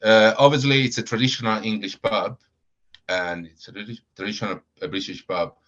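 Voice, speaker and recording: Low-voiced man with many fillers, lo-fi. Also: fairly even intonation, a little fast, a bit bright. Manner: hesitant, many fillers and repeated words. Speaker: supporters club founder, guest. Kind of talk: casual podcast chat. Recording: dull lo-fi audio.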